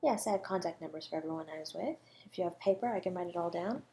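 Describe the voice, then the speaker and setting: A woman's voice: fairly low pitch, dark tone, slight background noise, natural voice. Woman acting a coy, condescending female murder suspect. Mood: composed, coy. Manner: teasing, condescending, composed, deliberate. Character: coy, self-assured female academic, a murder suspect toying with detective. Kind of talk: flirty audio roleplay, one-sided dialogue.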